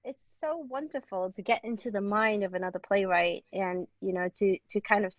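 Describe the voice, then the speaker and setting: A woman's voice: mid-range voice, moderate pace, no echo, lo-fi sound. A woman from Hong Kong speaking casually as an interview guest, chatty and tentative in her phrasing. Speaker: playwright and translator, interview guest.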